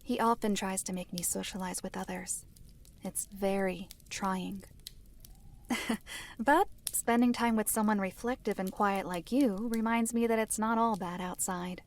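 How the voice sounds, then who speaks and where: A woman playing a reserved, composed female storyteller in a fantasy audio drama, speaking in a measured, formal, precise way with a dry, stern edge. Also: mid-range voice, fairly even intonation, lightly acted. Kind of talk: fantasy visual novel audio drama acting.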